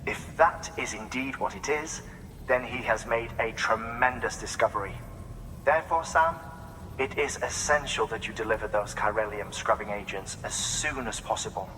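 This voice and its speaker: Man playing bright-voiced erudite scientist, reverberant. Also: medium pitch, moderate pace, a little hiss or hum, natural voice. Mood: fascinated lecturing. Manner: formal, precise, lecturing. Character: courteous, erudite male scientist, gently intense. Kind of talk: dramatic video game exposition.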